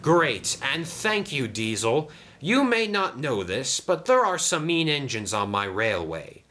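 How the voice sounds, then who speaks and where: A man's voice: mid-range voice, sing-song, unhurried, pushed and bright, a little hiss or hum, voice pushed up. A man voicing a cheerful, naive young male engine in a children's story, polite and earnest. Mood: grateful.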